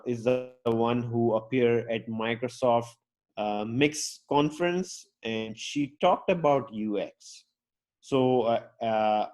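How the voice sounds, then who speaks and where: A man's voice: medium pitch, darkish tone. Chatty man, English as a later language. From Pakistan. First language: not English; English is his fourth language. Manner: rambling, many fillers and restarts, outgoing. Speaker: guest speaker, UX designer. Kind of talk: casual talk to an online audience.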